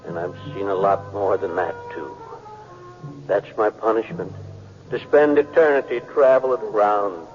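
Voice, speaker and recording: An actor playing the mournful ghost of a dead rancher, speaking solemnly and slowly with foreboding in an old radio western. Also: male voice, lowish voice, a little mellow, soft background music, natural voice. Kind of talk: old-time radio western drama acting. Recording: dull vintage radio recording.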